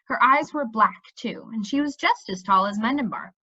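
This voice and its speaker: Animated, bright-voiced woman reading aloud. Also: on the high side, slightly rough, dull audio. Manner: animated, pausing to check in with listeners. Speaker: reader reading a children's book aloud. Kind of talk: expressive read-aloud storytelling.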